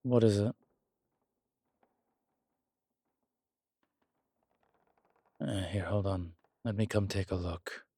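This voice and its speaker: A man playing a wry, world-weary demon investigator in a horror roleplay audio, speaking in hesitant, measured, musing phrases with dry humour. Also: fairly low pitch, mellow, husky, dry recording. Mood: curious, musing. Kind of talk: horror roleplay audio, intimate one-sided dialogue.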